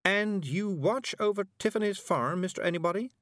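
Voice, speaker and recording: Man putting on a dry, stern witch, raised pitch. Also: a bit bright, no room sound. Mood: polite, curious. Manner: measured, dry, articulate. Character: composed, dryly stern adult female witch.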